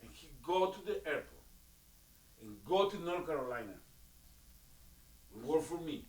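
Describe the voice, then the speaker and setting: A man's voice: a little high, expressive, a little slow, echoey room, poor audio. A middle-aged man whose first language is Spanish, speaking broken English in an insistent, emphatic casual chat.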